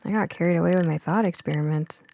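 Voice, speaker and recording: A woman's voice: on the low side, fast talker, vocal fry, dark tone, slight background noise. A woman voicing a curious, science-minded young girl in an audiobook, earnest and eager in manner. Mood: sheepish. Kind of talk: audiobook character dialogue.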